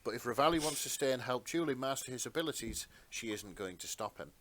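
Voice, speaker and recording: Bright-voiced man narrating a dramatic story. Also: mid-range voice, animated, fast, a little hiss or hum. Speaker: podcast narrator and co-host. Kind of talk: dramatic fan fiction narration.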